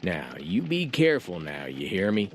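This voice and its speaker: An actor playing a rustic, superstitious carriage driver in an audio drama, with folksy, fearful warnings. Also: male voice, low-pitched, very animated, moderate pace. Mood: wary warning. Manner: folksy drawl, agitated, warning. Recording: clear music behind the voice.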